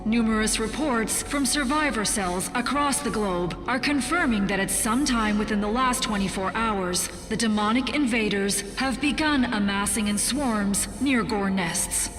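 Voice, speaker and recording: An actress playing a resistance emergency broadcast announcer in a video game, formal and official in delivery. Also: female voice, a little high, measured pace, hardly any pauses, close to an everyday voice. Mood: official, warning. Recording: long echoing public-address reverb, music behind.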